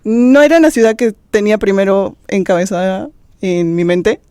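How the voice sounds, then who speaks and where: A woman's voice: medium pitch, moderate pace, warm tone, smooth, no echo, clean studio sound. A young woman with a Peruvian accent, an exchange student answering thoughtfully with some hesitations. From Peru. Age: young adult. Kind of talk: conversational answer.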